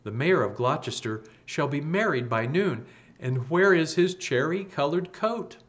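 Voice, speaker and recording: A man's voice: fairly high pitch, lively intonation, a little slow, pitched above the performer's own voice. A man doing a worn-out old tailor. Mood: weary. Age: older. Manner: tired, lamenting. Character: weary poor old male tailor.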